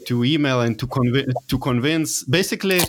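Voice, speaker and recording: A man's voice: mid-range voice, fairly quick, noisy background. A man from Lithuania speaking English as a second language with a Lithuanian accent, a guest telling his story in a casual interview, hesitant with many fillers and restarts. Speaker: guest, virtual summit organizer.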